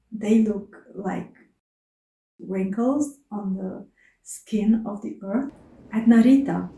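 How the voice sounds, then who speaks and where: A woman whose first language is Romanian, narrating her travels in a soft, earnest, contemplative way. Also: medium pitch, roomy sound, poor audio. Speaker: travel vlogger.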